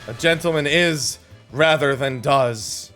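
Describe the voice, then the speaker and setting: A man voicing a refined, pompous gentleman from a fantasy game in a drawn-out delivery. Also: fairly high pitch, faint music behind, voice pushed up. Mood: mock refined.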